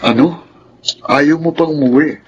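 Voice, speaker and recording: A man's voice: on the high side, unhurried, faint music behind, close to an everyday voice. An actor playing a sympathetic, reasonable young male friend in a radio drama. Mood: questioning, sympathetic. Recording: dull radio recording with background noise.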